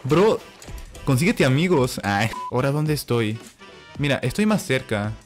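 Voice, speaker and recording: A young man chatting excitedly while playing a game, often shouting in surprise and mixing in English slang. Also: mid-range voice, unhurried. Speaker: gaming YouTuber. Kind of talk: excitable gameplay chat. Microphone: saturated home mic. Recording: distorted mic with game music behind.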